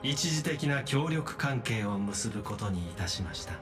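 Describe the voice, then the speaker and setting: Man voicing a formal, logical machine gentleman, music behind. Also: fairly low pitch, animated, quick pace, natural voice. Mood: calm, reassuring. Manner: formal, precise, polite. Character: courteous, logical male machine genius, calmly protective. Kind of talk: anime-style game voice acting. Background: game music.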